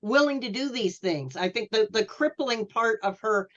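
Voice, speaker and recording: Slightly dull, lo-fi audio carries a woman's fairly high voice. She talks as a panelist in a casual but informed discussion, laying out details in a deliberate, explanatory way. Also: lively intonation, a bit bright. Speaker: podcast panelist.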